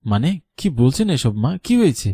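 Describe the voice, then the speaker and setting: A man's voice: medium pitch, very animated, fairly quick, dark tone, husky, dry recording, studio quality. A man playing a polite, dutiful young landlord's son in love, in a cartoon story. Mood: shocked. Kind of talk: Bengali cartoon story voice acting.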